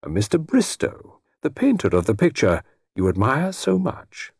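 A male narrator plays an older gentleman of great courtesy from a storybook. The voice sits well above natural talk and rises and falls freely. The manner is eager, fussy and a little hesitant, in a very dry recording. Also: medium pitch, huge pitch swings, moderate pace, vocal fry, slightly rough, no echo, pitched above the performer's own voice, exaggerated voice. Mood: flustered, delighted. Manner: courtly, hesitant, old-fashioned politeness.

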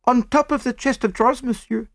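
Man voicing high-pitched, excitable maid. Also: fairly quick, gravelly, no room sound, clean studio sound, heavily acted. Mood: hesitant. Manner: formal, slightly foreign phrasing. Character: excitable young French lady's maid, defensive and tearful.

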